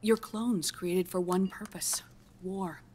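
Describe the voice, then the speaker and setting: A woman playing a grave, earnest scientist in a video game cutscene, speaking in a measured, deliberate, clinical way with breathy pauses. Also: fairly low pitch, fairly even intonation, a little mellow, a little hiss or hum. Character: grave, earnest female scientist explaining grim news gently.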